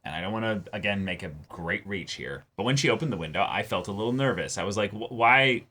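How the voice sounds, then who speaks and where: A man co-hosting a casual anime reaction discussion, talking in animated swings between excited highs and low mumbles. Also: quick pace.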